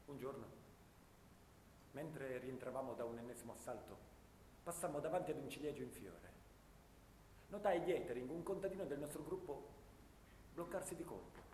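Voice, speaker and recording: An actor playing a haunted young First World War soldier, declaiming grave memories in a theatrical radio drama monologue. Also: male voice, fairly high pitch, darkish tone, a little hiss or hum, natural voice. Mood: haunted, urgent. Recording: echoey hall, guitar music behind. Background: guitar music.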